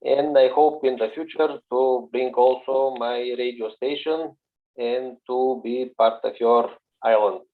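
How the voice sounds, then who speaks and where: A man with a Bulgarian accent talks slowly, stopping to search for the right words. His voice comes over a tinny, phone-like video call line. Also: mid-range voice, fairly even intonation. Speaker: radio amateur guest. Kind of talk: casual introduction on a video call.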